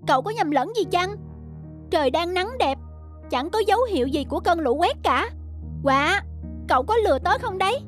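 A woman's voice: very high-pitched, animated, moderate pace, pauses often, faint music behind. A woman voicing a young cartoon bear with a childlike, wondering manner, cheerful but wary. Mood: suspicious.

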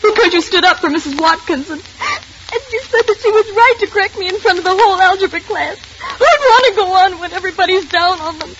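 A woman's voice: extremely high pitch, expressive, a little fast. An actress playing a sulky, distraught, self-dramatizing teenage schoolgirl in a 1940s radio drama. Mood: aggrieved, upset. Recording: noisy, muffled 1940s radio recording.

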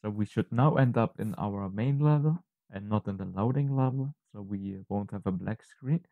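A man presenting an unscripted software tutorial, explaining step by step in a halting, hesitant way. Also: fairly low pitch, no room sound, phone-quality sound.

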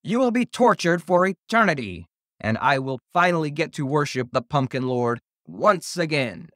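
A man's voice: a little high, sing-song, normal speed, no echo, studio quality, pitched above the performer's own voice. A man voicing an ancient, evil female monster in a horror story, taunting and gloating over a victim. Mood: taunting, exultant. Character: ancient evil female monster, menacing servant of dark lord.

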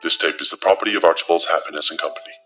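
A man's voice: rumbling low, fairly even intonation, racing, pushed and bright, faint music behind. A man playing an impersonal corporate announcer reading a formal, ominous notice in an analog horror film. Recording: dull lo-fi tape.

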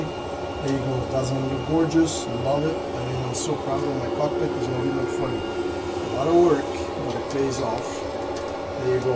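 A man's voice: mid-range voice, very noisy. A man casually touring the flight simulator cockpit he built at home, proud and chatty, full of fillers and restarts. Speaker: home simulator builder giving a tour. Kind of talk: casual off-the-cuff tour.

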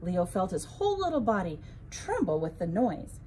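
Animated, mellow woman reading a bedtime story. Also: medium pitch, moderate pace, a little hiss or hum. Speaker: librarian reading a children's story. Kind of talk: expressive children's bedtime story narration.

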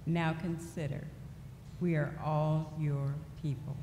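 A middle-aged woman reading scripture aloud at a church lectern in a slow, deliberate way. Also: low-pitched, little pitch movement, warm tone. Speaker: lay reader. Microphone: lectern microphone. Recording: echoey church hall with faint noise.

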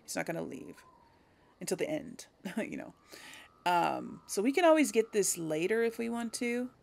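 A woman's voice: mid-range voice, sing-song, moderate pace, vocal fry, a little mellow. A woman hosting a gaming video, giving casual, chatty and rambling let's play commentary.

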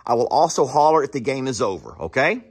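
Man, 30s, husky farm vlogger, animated delivery. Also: medium pitch, quick pace. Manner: folksy, explains to viewers, hollers to his kids. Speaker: vlog host. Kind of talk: casual farm vlog. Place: outdoors on a rural property. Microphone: phone camera at arm's length.

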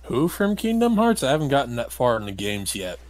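A man's voice: medium pitch, big pitch swings, brisk. A man playing a video game and commentating casually, with blunt, grumbling remarks. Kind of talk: casual gameplay commentary chat.

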